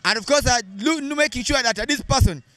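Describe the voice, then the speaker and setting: A man's voice: very high voice, fast, bright, forceful tone, no echo. A young man hosting a street interview outdoors on a handheld mic, talking in a sing-song presenter style that mixes English and a local language. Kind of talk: street vox-pop interview. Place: busy town street outdoors.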